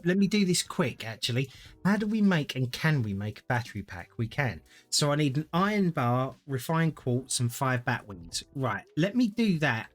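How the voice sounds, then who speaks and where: Casting: male streamer, rambling, lively voice that rises and falls, faint music behind. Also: medium pitch. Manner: rambling, thinks aloud, chats to viewers. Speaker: gaming streamer. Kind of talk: casual live-stream gameplay commentary.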